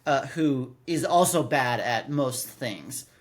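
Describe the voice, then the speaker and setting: A man's voice: mid-range voice, animated, slow pace. A man of thirty-nine co-hosting a podcast in casual chat, often stammering and doubling back as he explains. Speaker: podcast co-host.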